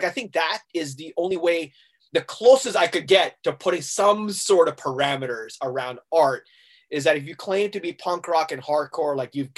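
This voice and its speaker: A lively, slightly high-voiced man from Hong Kong talks casually in an interview. He tells stories with energy and acts out both sides of a conversation. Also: breathy edge. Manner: animated storyteller, acting out quoted exchanges. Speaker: guest musician.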